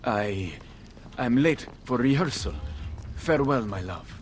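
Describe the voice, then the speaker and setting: A man's voice: mid-range voice, lively intonation, unhurried, a little hiss or hum, natural voice. An actor playing an ardent, theatrical Italian man in a video game cutscene. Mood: lovestruck.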